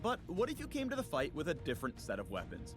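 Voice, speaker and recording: A man narrates a scripted game guide with very lively pitch movement and a quick pace. Clear music plays underneath his promotional read.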